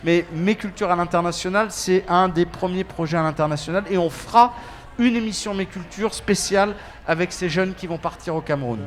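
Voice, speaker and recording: A man's voice: high voice, a little fast. A man in his fifties from France, an association organizer chatting on a panel with many fillers and restarts. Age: 50s. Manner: animated, hesitant with many fillers. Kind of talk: casual panel chat.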